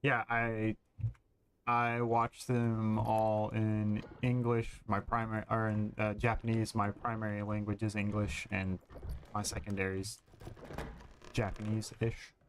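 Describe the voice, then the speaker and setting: A man's voice: lowish voice, monotone, a little slow, slight background noise. A man streaming a game, chatting casually and thinking aloud with hesitant fillers. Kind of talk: casual gaming commentary.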